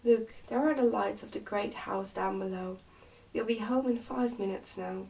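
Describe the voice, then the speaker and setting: A woman's voice: mid-range voice, fairly even intonation, moderate pace, gravelly, dark tone, recorded from a distance, lightly acted, poor audio. A woman voicing a brave, good-natured miner boy from a storybook, easygoing and plain-spoken.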